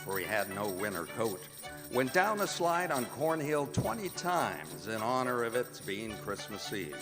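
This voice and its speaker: A man narrating a Christmas radio drama with measured, dramatic storytelling. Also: fairly low pitch, big pitch swings. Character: omniscient storyteller of a Victorian Christmas tale. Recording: reverberant hall with music.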